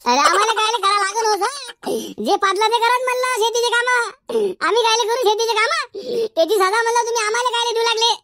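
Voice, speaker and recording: A man voicing a cheeky, boastful village schoolboy in a comedy cartoon, arguing in a Varhadi Marathi accent through a pitched-up effect. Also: brisk, sharp tone. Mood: defiant. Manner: argumentative, indignant, backtalking.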